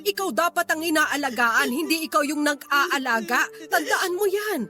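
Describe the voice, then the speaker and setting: Radio drama role: exasperated, protective middle-aged mother, high-pitched, very animated, frank and emotional. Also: moderate pace, soft background music. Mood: blunt warning. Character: worried, blunt older mother, powerless yet protective.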